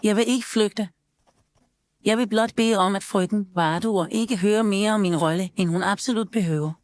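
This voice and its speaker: A woman's voice: medium pitch, darkish tone, natural voice. A woman playing an accused accomplice in an audio story, defensive yet remorseful, with formal pleading speech. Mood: resigned, pleading. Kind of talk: scripted audio story dialogue.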